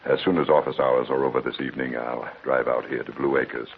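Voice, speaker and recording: An actor playing a grave, kindly family doctor in an old-time radio drama, measured and paternal, with a gravelly voice. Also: male voice, extremely low pitch, level tone, phone-quality sound. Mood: solemn, troubled. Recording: thin noisy old radio recording.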